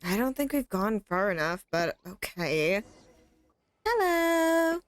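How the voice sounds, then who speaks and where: Slow-talking, animated woman streamer. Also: medium pitch, clean tone, no echo. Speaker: game streamer. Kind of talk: casual, brief reactions to a game.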